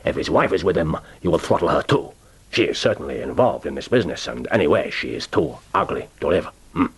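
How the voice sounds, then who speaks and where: An actor playing a jovial, ruthless spymaster with an expansive, commanding, teasing manner in a radio drama. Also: male voice, very deep voice, sing-song, brisk, slightly rough, heavily acted. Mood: firm, instructing. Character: jovial, ruthless Japanese male spymaster briefing an agent. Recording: orchestral film score behind the voice.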